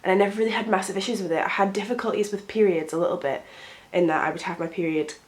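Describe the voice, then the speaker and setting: A woman's voice: mid-range voice, steady tone, rapid-fire. A young woman with a cold, sounding sniffly, chatting in a rambling way with frequent ums as she does her makeup for a get ready with me vlog at home. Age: young adult. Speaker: beauty vlogger.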